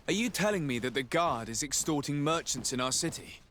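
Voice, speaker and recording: An actor playing a composed, insistent noble legate in a video game, speaking formally and persuasively. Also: male voice, on the high side, expressive, fairly quick, fairly bright tone, slight background noise. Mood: concerned, resolute. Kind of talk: game cutscene acting.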